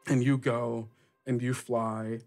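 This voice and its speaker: A man's voice: mid-range voice, level tone, slow pace, creaky, darkish tone. A man hosting a tabletop game podcast as game master, narrating and chatting casually. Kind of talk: casual actual-play game narration and chat.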